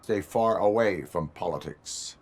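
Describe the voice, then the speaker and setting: An actor playing a stoic cyborg policeman in a video game, speaking in a stiff, formal deadpan. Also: low voice, measured pace. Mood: stern, flat.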